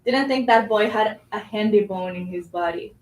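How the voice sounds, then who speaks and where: A woman's voice: medium pitch, normal speed, slight background noise, natural voice. A woman playing a blunt, skeptical, streetwise character in a staged play reading, roomy and distant from the microphone. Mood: skeptical, sarcastic. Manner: sarcastic, streetwise.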